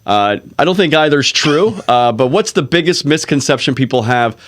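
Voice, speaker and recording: A man hosting a podcast, asking curious, friendly follow-up questions in a relaxed conversational way. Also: on the high side, moderate pace. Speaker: podcast host.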